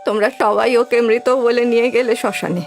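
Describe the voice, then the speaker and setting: A woman's voice: on the high side, fairly even intonation, moderate pace, gravelly. A woman playing a desperate, grieving village mother in a horror cartoon, pleading and intense. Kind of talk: Bengali horror cartoon dramatic acting. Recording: background music throughout.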